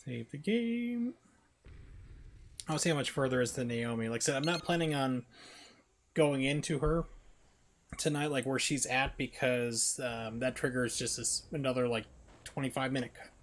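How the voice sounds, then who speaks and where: A man's voice: medium pitch, moderate pace, long pauses, a little hiss or hum. A man doing casual live stream commentary while he plays a game.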